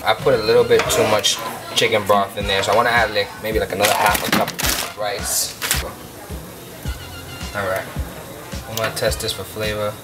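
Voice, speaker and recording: A Puerto Rican man hosting a home cooking show, walking through a recipe step by step in a relaxed, chatty way. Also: lowish voice, moderate pace, long pauses. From Puerto Rico. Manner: laid-back, chatty step-by-step instructions. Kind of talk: casual cooking demonstration. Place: home kitchen. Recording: distant mic under loud music.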